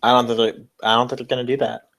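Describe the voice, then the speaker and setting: A man's voice: medium pitch, little pitch movement, fast, vocal fry, a bit bright. A man co-hosting a podcast, casually discussing wrestling in informal, slangy language with some swearing. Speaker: podcast co-host.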